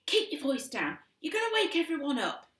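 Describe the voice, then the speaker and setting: A woman's voice: high voice, big pitch swings, a little fast, a bit bright, pitched above the performer's own voice. A woman reading aloud, voicing a nervous older sister who protests and pleads. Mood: defensive. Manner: pleading, urgent. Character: anxious older sister, defensive and hushed. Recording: roomy sound from a distance.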